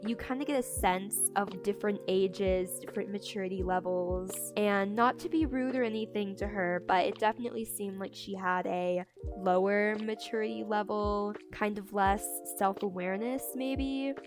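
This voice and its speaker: A young adult woman telling a casual storytime, candid and chatty, with lots of "like" and incredulous emphasis. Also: medium pitch. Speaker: storytime creator. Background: background music.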